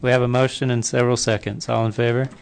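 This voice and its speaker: A man chairing a public board meeting, running the procedure briskly. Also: mid-range voice, level tone, creaky, a little hiss or hum.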